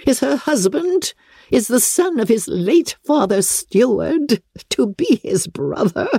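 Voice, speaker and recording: A woman's voice: on the high side, big pitch swings, slow pace, creaky, warm tone, breathy edge, no room sound, clean studio sound, voice pushed up, exaggerated voice. A woman voicing a haughty, incensed older noblewoman in a dramatic novel reading, imperious and declamatory. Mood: indignant, scornful.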